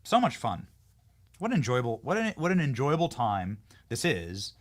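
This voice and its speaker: A man's voice: mid-range voice, wildly swooping pitch, moderate pace, soft background music. A man hosting a political interview show, talking emphatically and often repeating words as he builds a point. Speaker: show host.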